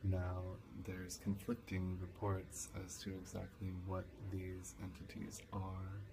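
A young man playing a calm college professor in a soft-spoken ASMR lecture roleplay, measured and didactic. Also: low voice. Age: young adult. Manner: measured, didactic, with rhetorical questions. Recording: clearly noisy, hissy home recording.

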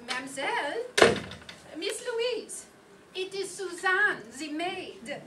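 A woman's voice: very high voice, expressive, slow pace, exaggerated voice. A woman playing a polite, attentive French lady's maid with a French accent in an amateur radio play. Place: meeting room stage before an audience. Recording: echoey room, distant noisy pickup.

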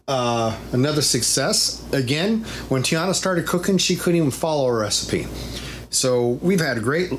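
A middle-aged man in his home kitchen giving a casual food review, chatty and relaxed with lots of ums. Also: medium pitch. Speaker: vlog host. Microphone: fixed camera mic at mid distance. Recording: noisy home recording.

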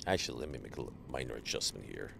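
A man streaming a game live, chatting casually with viewers and greeting them by name, sounding a little stuffy from allergies, on a boom mic. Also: rapid-fire, gravelly, background noise. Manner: greets chat viewers, relaxed banter. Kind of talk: casual live gameplay chat.

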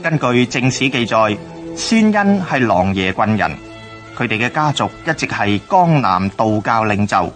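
A man with a Hong Kong Cantonese accent narrating a historical radio drama in a formal, measured, scripted way. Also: mid-range voice, very animated, over music.

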